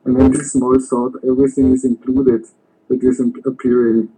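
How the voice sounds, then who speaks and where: A man's voice: medium pitch, little pitch movement, warm tone, slightly rough, low-quality recording. Man searching for words. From Austria. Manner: slow, searching for words with many ums. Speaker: caller on a live stream.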